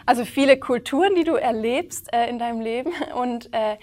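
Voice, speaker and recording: A woman's voice: on the high side, brisk, darkish tone. A woman hosting an interview, friendly and attentive, asking questions with many listening sounds. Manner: friendly, asks questions, many "mhm" fillers. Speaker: host. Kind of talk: interview host.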